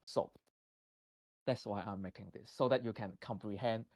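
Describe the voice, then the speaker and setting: A man with a Hong Kong accent presenting a math lesson from slides, halting and full of restarts. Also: fairly low pitch, fairly even intonation, darkish tone, no echo. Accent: Hong Kong English. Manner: halting, many restarts and fillers. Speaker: guest presenter.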